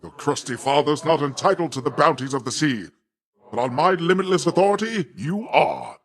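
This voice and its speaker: Casting: a grandiose sea god uncle in a video game, fairly high male voice, hearty, boastful, mock-formal and quick to take offence. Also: expressive, a bit bright. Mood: pleased, grand. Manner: pompous, hearty, mock-formal theatrical delivery. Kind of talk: theatrical video game voice acting.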